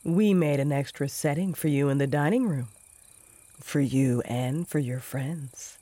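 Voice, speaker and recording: A woman's voice: sits low, measured pace, warm tone, dry recording. A woman playing a possessed, evil mother, prim, scolding and condescending, in a horror-comedy audio drama. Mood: cold scolding. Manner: sickly-sweet, scolding.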